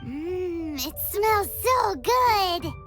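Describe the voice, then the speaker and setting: Game mascot: tiny childlike girl, perky, greedy for food, very high squeak, lively intonation. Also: drawn-out words, heavily acted. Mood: savoring. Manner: perky, exclamatory, speaks of herself in third person. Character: tiny childlike floating girl companion, gluttonous, perky and cocky. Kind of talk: video game cutscene acting. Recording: loud music behind the voice.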